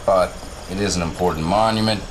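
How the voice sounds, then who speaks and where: An actor playing a brash, bloodthirsty military officer briefing the player in a game, with a blunt, swaggering, colloquial delivery. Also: male voice, deep voice, fairly quick, background noise, natural voice. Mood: eager, cocky. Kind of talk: video game briefing acting.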